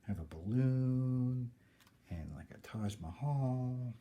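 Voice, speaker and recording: A man reading a children's picture book aloud in an expressive, dramatic storytelling manner. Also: mid-range voice, slow.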